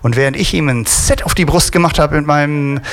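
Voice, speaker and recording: Male host, slightly higher voice, joking, chatty interviewer tone. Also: brisk, a little hiss or hum. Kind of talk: casual chat.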